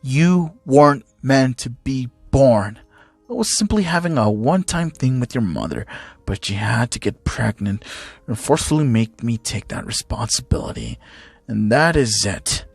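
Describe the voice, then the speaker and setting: A man voicing a cruel, sneering villainous father who speaks in a deliberate, mocking way. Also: mid-range voice, sing-song, creaky, breathy edge, no echo, faint music behind, voice pushed down, heavily acted. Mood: cruel contempt.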